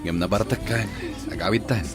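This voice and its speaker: An actor playing an evasive, placating husband with a hesitant, soothing manner in an Ilocano comedy radio drama. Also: male voice, on the low side, fairly quick, natural voice. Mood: reluctant. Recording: radio drama with loud music behind.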